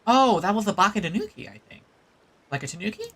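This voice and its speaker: A woman's voice: medium pitch, very animated, fast, fairly bright tone, husky. A woman streaming a game, giving casual, reactive commentary as the story unfolds.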